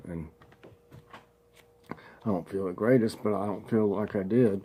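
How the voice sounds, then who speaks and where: A man, a congested vlogger chatting folksily and slowly reading a devotional aloud. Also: on the low side, steady tone, warm tone, a little hiss or hum. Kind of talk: casual home vlog with prayer and devotional reading.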